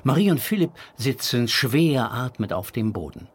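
A man narrating a children's audio drama as a calm storyteller, reading scripted narration. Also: mid-range voice, normal speed, breathy edge.

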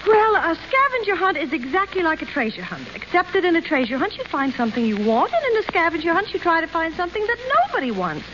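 A woman's voice: extremely high pitch, big pitch swings, fast talker, hardly any pauses, a bit bright, full character voice. An actress playing a giddy, naive young society woman who rambles breezily, in 1930s screwball radio style. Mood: naive, chatty. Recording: dull, hissy 1930s radio recording.

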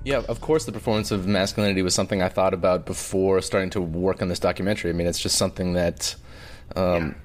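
A man from Alberta with a Canadian accent, talking reflectively as an interview guest, halting as he searches for words. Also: sits low, fairly even intonation, faint music behind. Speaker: documentary filmmaker, interview guest.

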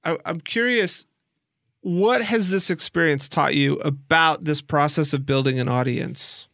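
A man's voice: a little high, unhurried, vocal fry, bright, forceful tone, no room sound, clean studio sound. A man working as an interviewer, talking casually and conversationally as he thinks through his questions.

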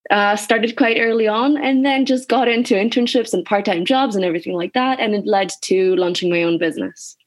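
A woman's voice: mid-range voice, brisk, few pauses. A woman in her twenties from Greece, a guest in an interview, talking casually with many "like" and "um" fillers. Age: 20s. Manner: chatty, lots of "like" and "um" fillers. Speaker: guest, agency founder.

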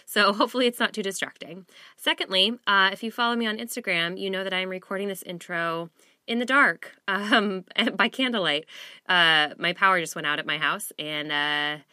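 A woman's voice: mid-range voice, normal speed, bright, forceful tone, smooth, dry recording, studio quality. A woman hosting a podcast in a chatty, upbeat manner, a little stuffy from a cold, recorded in a small closet. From Seattle area. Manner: chatty, upbeat, self-deprecating. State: has a cold. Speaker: podcast host. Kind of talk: casual podcast intro and interview.

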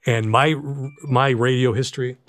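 Male, 50s, American. Casual podcast guest, animated storyteller with stammered starts, mid-pitched, recorded close and dry. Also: moderate pace, no echo, soft background music. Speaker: podcast guest, sports arena announcer. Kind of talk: casual anecdotal interview chat. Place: in a room with the hosts.

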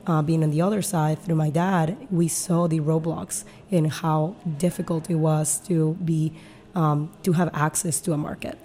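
A woman's voice: sits low, flat delivery, normal speed, warm tone, a little hiss or hum. A woman, a company founder earnestly explaining her career in a podcast interview, with frequent fillers. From Peru.

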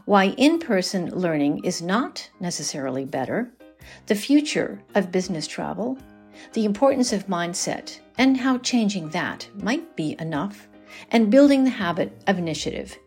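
A woman's voice: medium pitch, big pitch swings, normal speed, darkish tone, breathy edge, soft background music. A woman hosting a podcast, asking prepared questions in a conversational interview style. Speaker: podcast host.

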